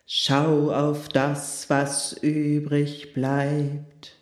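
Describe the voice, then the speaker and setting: A woman voicing a talking candle flame, the keeper of fire, who speaks slowly and solemnly and allows no objection. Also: low voice, completely flat delivery, a little mellow, roomy sound.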